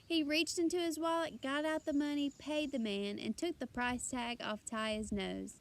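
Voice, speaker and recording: A woman's voice: sits high, fairly even intonation, moderate pace. A woman reading a children's story aloud expressively and emphatically, like a teacher addressing her young listeners.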